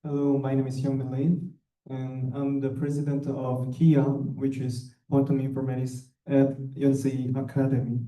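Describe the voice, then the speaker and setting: Young male student speaker, very mellow and unhurried, halting delivery, recorded at a distance in a roomy hall. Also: mid-range voice, monotone. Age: young adult. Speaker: student club president presenting. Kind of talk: conference presentation. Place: indoor conference room. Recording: echoey room, distant microphone.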